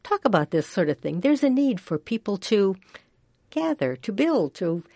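An older woman from Iowa hosting community radio, chatty and folksy, swooping up in pitch when she exclaims. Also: big pitch swings, moderate pace, warm tone, dry recording. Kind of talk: casual radio chat. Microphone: studio microphone.

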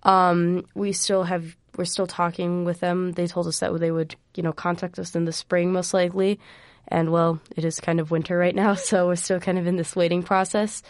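A young woman from Iowa talks as a radio guest, earnest and hesitant with many fillers. Her voice is fairly low and flat in pitch. She is close to a dry studio microphone. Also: moderate pace, no room sound. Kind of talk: radio interview.

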